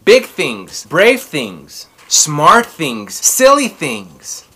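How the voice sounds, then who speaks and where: A man's voice: a little high, wildly swooping pitch, drawn-out words, bright, forceful tone, breathy edge. A man in his thirties hosting a children's story time, reading aloud in a theatrical, emphatic way. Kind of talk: expressive read-aloud for children. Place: small furnished room at home, indoors.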